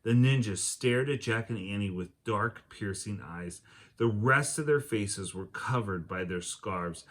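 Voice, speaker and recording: A man with a fairly low voice reads a children's adventure book aloud. He tells it expressively and acts out the characters' lines as he goes. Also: measured pace, a bit bright. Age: adult. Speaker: teacher reading aloud.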